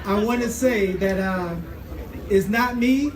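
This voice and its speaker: A man with a light voice speaking slowly and deliberately at a rally. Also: high-pitched. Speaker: featured speaker. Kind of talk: rally speech. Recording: echoey outdoor rally with crowd noise. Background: crowd.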